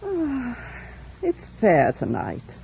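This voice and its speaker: An actress playing a kindly, gossipy older housekeeper in an old radio drama. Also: female voice, on the high side, wildly swooping pitch, very slow, warm tone, a little hiss or hum, heavily acted. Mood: chatty. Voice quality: husky. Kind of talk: 1940s radio drama acting. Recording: dull old radio recording.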